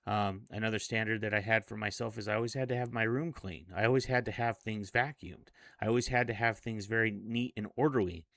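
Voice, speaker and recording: A man of forty speaking in a casual, reflective vlog monologue, earnest and a little halting. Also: on the low side, steady tone, gravelly, fairly bright tone, no room sound. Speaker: self-improvement vlogger.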